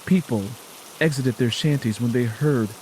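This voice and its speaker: Male story narrator over clear rain noise. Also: medium pitch, moderate pace, low-quality recording. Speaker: audio drama narrator. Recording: steady rain noise behind voice.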